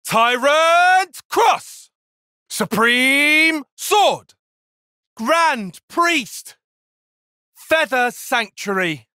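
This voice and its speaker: A man's voice: very high voice, animated, very slow, pauses often, pushed and bright, dry recording, studio quality, exaggerated voice. An actor voicing an earnest, calculating and proud young male tactician in a video game, speaking formally and precisely with intensity. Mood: battle shouting. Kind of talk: video game battle voice lines.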